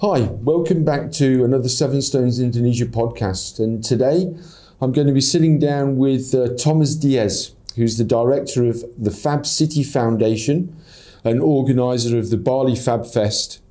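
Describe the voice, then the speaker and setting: A man's voice: mid-range voice, moderate pace, mellow. A man hosting a podcast interview, chatting in a friendly way and encouraging his guest. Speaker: podcast host.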